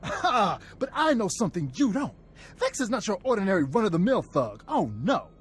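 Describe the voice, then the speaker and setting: A man's voice: high voice, wildly swooping pitch, moderate pace, breathy edge, heavily acted. A man playing a showy, boastful gunslinger outlaw, talking in a theatrical, mocking way in video game dialogue. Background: faint game music.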